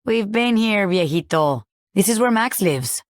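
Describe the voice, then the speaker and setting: A woman's voice: mid-range voice, expressive, measured pace, no echo, clean studio sound, natural voice. A woman voicing a sly, playful video game hacker, teasing and familiar with her friends. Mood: reassuring. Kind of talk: video game character voice lines.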